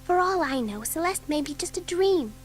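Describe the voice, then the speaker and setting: A woman playing an excitable, curious and anxious young schoolgirl in a dramatised audiobook. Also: very high voice, normal speed, soft background music. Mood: worried musing.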